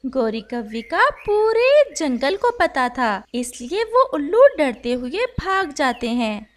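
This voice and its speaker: High-pitched, animated woman storyteller. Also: slow. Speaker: story narrator. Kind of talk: scripted children's story narration.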